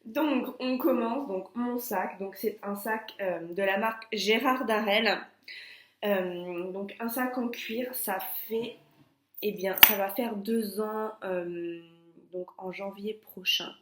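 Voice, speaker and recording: A woman's voice: mid-range voice, takes it slowly, echoey room, slight background noise. A young woman vlogging casually with a stuffy, nasal voice from a cold, talking in a chatty way with many hesitations and restarts. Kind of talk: casual chat.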